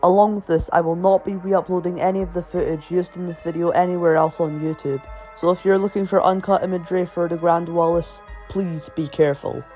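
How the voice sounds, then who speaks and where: A man with a slightly high voice delivers scripted horror-story narration for a video. The recording is somewhat dull and lo-fi. Music plays in the background beneath his narration. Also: steady tone, a little fast, few pauses. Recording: dull, lo-fi voice track.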